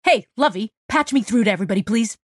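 A woman's voice: on the high side, expressive, fast talker, no echo. A cocky, easygoing small man who works as a tech, played by a woman narrator. He talks bluntly and jokes with playful pomp, in a dry, close storybook recording. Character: small stocky man, cocky, laid-back joking tech.

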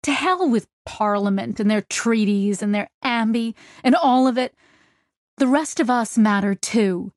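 A woman's voice: a little high, unhurried, no room sound, studio quality, natural voice. A woman voicing a young female starship crew member, grieving and angry, speaking through tears. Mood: upset. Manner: tearful, fierce.

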